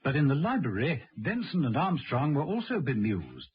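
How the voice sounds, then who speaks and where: A man's voice: medium pitch, wildly swooping pitch, normal speed, husky, heavily acted. A man playing the wry, dramatic storyteller of a radio serial, delivering scripted narration. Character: male arch storyteller, wryly addressing the hero. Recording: dull radio recording.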